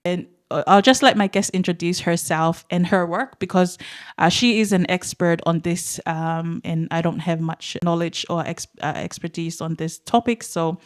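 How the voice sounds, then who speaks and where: A woman's voice: fairly low pitch, fairly even intonation, normal speed. A woman hosting an interview podcast, talking earnestly and in a rambling way. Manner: earnest, rambling, with many ums and uhs. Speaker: podcast host.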